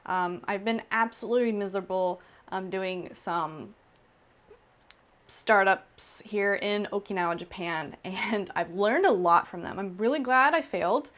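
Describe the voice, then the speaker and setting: A woman's voice: medium pitch, a little slow, a bit bright. A woman in her thirties, an entrepreneur, talking casually and candidly to camera with lots of "um" and "like" and emphatic repetition. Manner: chatty, frequent "um" and "like", candid and emphatic. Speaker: entrepreneur in Okinawa. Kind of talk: casual personal talk to camera.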